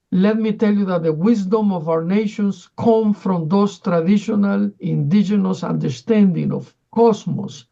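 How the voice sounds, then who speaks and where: A man from Ecuador giving formal remarks from afar over a remote link, halting and full of fillers. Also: sits high, vocal fry, warm tone, highs cut off. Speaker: UN division director. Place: speaking remotely from another country.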